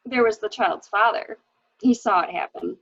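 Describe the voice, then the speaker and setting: A woman's voice: mid-range voice, normal speed, gravelly, fairly bright tone, husky. A woman in a dramatic mystery reading, playing an anxious, hesitant widow, on a dull home recording. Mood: earnest, bitter. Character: anxious, hesitant new widow recounting her husband's misdeeds. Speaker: library staff reader.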